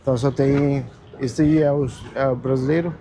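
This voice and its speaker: A man in his fifties, born in Germany, chatting in a halting, friendly way as a tuk-tuk tour guide outdoors, speaking with an accent. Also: medium pitch, steady tone, crowd noise. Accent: Portuguese with German influence. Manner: halting, repeats words, friendly. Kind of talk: casual chat. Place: outdoors on a Lisbon street.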